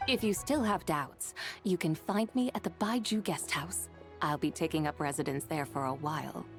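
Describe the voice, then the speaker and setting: A woman playing a serene, mystical adept in a video game, speaking in formal, old-fashioned, measured phrases. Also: low-pitched. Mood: solemn, knowing. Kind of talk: video game character acting. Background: game music.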